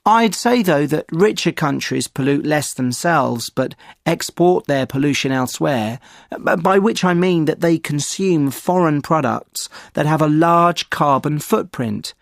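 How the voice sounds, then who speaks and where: A man with a slightly high voice answers questions in a measured, thoughtful way, weighing his opinions as he goes. The sound is close and completely dry. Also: lively intonation, vocal fry, no echo. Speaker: exam candidate in sample answer. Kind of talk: scripted exam speaking answer.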